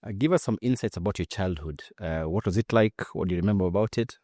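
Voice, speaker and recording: A man's voice: sits low, quick pace, mellow, no echo, clean studio sound. A man hosting a podcast, asking brief and polite interview questions.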